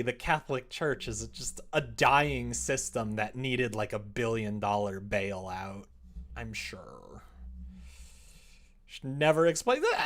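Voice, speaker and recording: A young adult man with an American accent talks casually to his livestream viewers. His delivery is rambling and reactive, with a very lively rise and fall over a middling pitch. He sounds like someone thinking out loud at his desk. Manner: rambling, expressive, reacting to chat comments. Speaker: live streamer. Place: indoor room at a desk.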